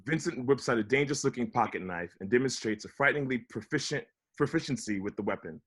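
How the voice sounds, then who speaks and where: A man reading a comedy script, both as an incredulous young roommate and as the dry, wry reader of stage directions, with a husky voice. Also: medium pitch, expressive, quick pace, a bit bright. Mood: dry narration. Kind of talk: table read of comedy script, with narrated directions. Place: at home, remote table read.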